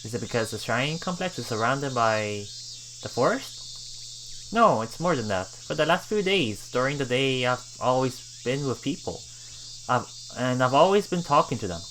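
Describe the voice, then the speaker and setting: Female game streamer, extremely low pitch, lively delivery, reads story text aloud with casual playful commentary. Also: moderate pace, a little hiss or hum, poor audio. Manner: playful, expressive. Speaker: let's play streamer.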